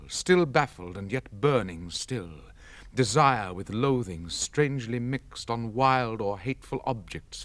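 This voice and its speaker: Man reading old poetry real slow and intense, stagey diction, very dry close sound. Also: medium pitch, fairly bright tone, dry recording. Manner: solemn, intense, theatrical delivery. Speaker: poetry reader. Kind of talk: dramatic recitation of classic poetry. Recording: close, dry 1960s spoken-word record.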